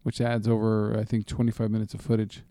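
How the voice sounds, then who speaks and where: A man's voice: fairly low pitch, monotone, fast talker, dark tone, no echo, clean studio sound. A man co-hosting a casual film news chat, talking in an informal, profane, rambling way. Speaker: movie podcast co-host.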